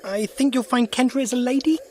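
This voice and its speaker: An actor playing a sharp, principled alien adventurer in an audio drama, brisk and inquisitive. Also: male voice, very high voice, fairly even intonation, a little hiss or hum. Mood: pointed questioning. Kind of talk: full-cast audio drama acting.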